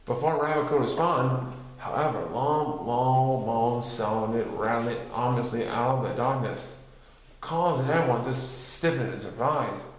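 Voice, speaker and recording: A man reading a children's story aloud as narrator, in an expressive storytelling style. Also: mid-range voice, measured pace. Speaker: storybook reader. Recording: very echoey, reverberant room.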